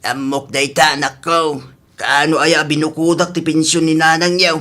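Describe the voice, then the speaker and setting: A worried old ilocano dad in a radio drama, careful with money, gently scolding. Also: male voice, fairly high pitch, little pitch movement, normal speed. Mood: earnest, defensive. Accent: Filipino (Ilocano). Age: older. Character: worried, thrifty old rural father, gently reproving.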